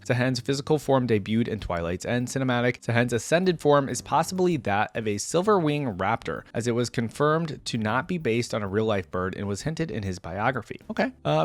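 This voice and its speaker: Casual male gaming reaction host. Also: medium pitch. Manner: informal, appreciative. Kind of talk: casual commentary, reading aloud and reacting.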